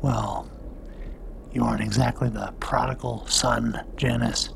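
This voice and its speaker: An actor playing a snake-like, taunting captain of the royal guard in a science-fiction audio drama, with slow, smug formality and a gravelly voice. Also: male voice, mid-range voice, fairly even intonation, noisy background. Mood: smug menace. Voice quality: creaky, gravelly. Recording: music under the voice. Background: dramatic music.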